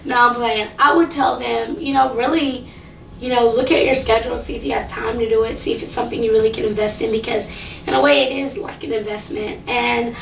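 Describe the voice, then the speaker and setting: A woman's voice: fairly even intonation, fairly quick, distant mic, a little hiss or hum. Rambling young woman, echoey room. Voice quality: low, slightly raspy. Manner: rambling, with many fillers. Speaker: singing contestant. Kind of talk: casual talk to camera.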